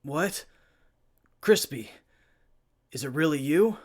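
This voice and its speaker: Man acting a weak, very slow-speaking young survivor. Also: fairly high pitch, animated. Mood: weak, relieved. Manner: halting, faint. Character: weak, dazed young man trapped in power armor. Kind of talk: post-apocalyptic radio drama.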